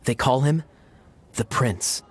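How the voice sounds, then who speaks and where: A man playing a suave, sly knight captain in a video game, smooth and teasing with theatrical pauses. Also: medium pitch. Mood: coy. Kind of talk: scripted video game dialogue.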